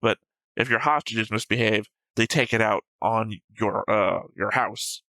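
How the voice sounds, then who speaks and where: A man's voice: fairly low pitch, unhurried, bright, forceful tone, dry recording, rough recording. A man co-hosting an anime reaction show, talking through episode notes casually and bluntly, with some swearing.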